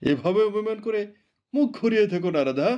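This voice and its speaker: A man's voice: high-pitched, animated, fairly quick, warm tone, highs cut off. A man playing a devoted, indulgent king in a cartoon, speaking in a coaxing, regal way. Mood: tender, reassuring. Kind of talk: cartoon voice acting.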